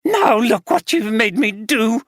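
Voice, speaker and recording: A reader voicing Christine, an angry, slightly slurring middle-aged wife, in an audiobook. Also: female voice, on the high side, animated, measured pace, no room sound, studio quality, voice pushed up. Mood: bitter, furious. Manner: bitter, contemptuous, slurring slightly. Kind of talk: audiobook character reading.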